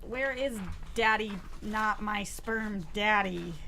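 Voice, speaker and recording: A woman's voice: mid-range voice, takes it slowly, sharp tone, slight background noise. A woman playing a sarcastic, blunt young heiress in a table read of a comedy script. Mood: indignant. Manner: blunt, dry, irritated. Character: Emma, sarcastic, exasperated young heiress daughter.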